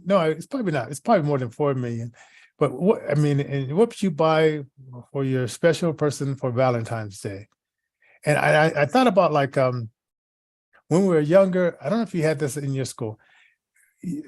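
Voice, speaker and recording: A man co-hosting in casual, rambling chat, halting as he searches for words and repeats himself. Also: on the high side, a little mellow, dry recording. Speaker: podcast co-host.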